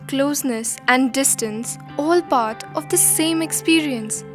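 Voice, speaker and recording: A woman narrating a scripted documentary drama in a measured, solemn storytelling manner. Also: high-pitched, music in the background.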